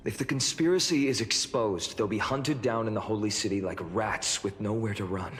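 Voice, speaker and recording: An actor playing a righteous, sternly protective young warrior lord in a fantasy game, speaking in an earnest, formal, firm manner. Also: male voice, lowish voice, normal speed, a bit bright, in a large hall, close to an everyday voice. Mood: grave, controlled. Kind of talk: dramatic fantasy game voice acting.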